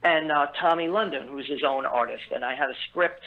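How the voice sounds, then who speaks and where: A man chatting as a guest musician, rambling and upbeat, with plenty of fillers and restarts. His voice sits somewhat high and comes through a thin, phone-like line. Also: a little fast, vocal fry. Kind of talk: casual interview chat. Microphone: phone call.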